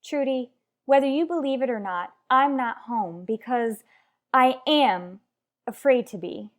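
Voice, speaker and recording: A woman's voice: high voice, measured pace. A woman playing a headstrong married mother in a radio soap, lecturing her rival bluntly and emphatically. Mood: earnest, tense. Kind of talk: radio soap opera acting.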